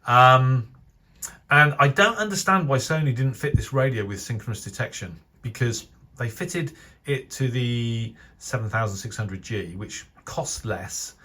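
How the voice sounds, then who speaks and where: A British man giving a casual, unscripted review commentary in a measured, opinionated way, feeling for his phrasing as he goes. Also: mid-range voice, pushed and bright. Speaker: radio enthusiast reviewer. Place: voice-over over still images.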